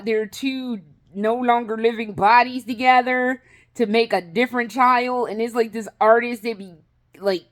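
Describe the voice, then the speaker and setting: A woman vlogging in a casual, rambling way, swearing freely, laughing often and restarting her sentences. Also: on the high side. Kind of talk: casual rambling vlog chat.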